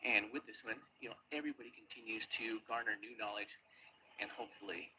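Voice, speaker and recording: A man from an underwater search and recovery team, giving a calm, matter-of-fact field report and explaining how the search was done. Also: lowish voice, fast, background noise. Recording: loud music bed under voice.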